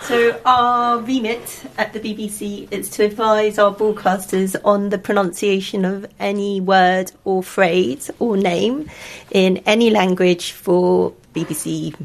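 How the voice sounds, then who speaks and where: A woman whose first language is Korean, a linguist answering interview questions in quick, chatty speech. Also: medium pitch, fairly even intonation, vocal fry. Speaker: broadcaster's pronunciation linguist.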